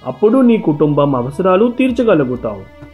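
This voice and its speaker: A practical village merchant acted by a man advises with assurance in a cartoon tale. The male voice runs rather high, with faint music in the background. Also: very animated, moderate pace, narrow, tinny audio. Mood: advising.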